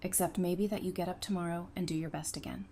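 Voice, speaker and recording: A woman's voice: fairly low pitch, fairly even intonation, fast talker, dark tone, lightly acted. A woman playing an earnest, blunt but consoling survivor of a zombie apocalypse in an audio drama. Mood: gentle, reassuring. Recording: clear background noise.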